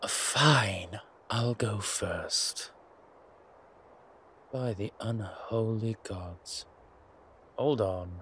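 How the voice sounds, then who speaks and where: A man playing a haughty, wry vampire gentleman in a roleplay audio drama, speaking formally and with refinement. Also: lowish voice, slight background noise, full character voice. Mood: mildly exasperated. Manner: refined, formal, wry phrasing with hesitant pauses.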